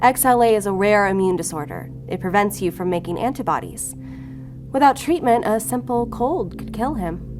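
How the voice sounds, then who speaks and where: Shrewd female agent played by a woman, mid-range voice, controlled and relentless, audio drama with clear music bed. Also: medium pitch, animated, normal speed, close to an everyday voice. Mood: cool, needling. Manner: controlled, needling, firm. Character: cool, shrewd female investigator, bluffing and relentless. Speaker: law enforcement agent. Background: music under the voice.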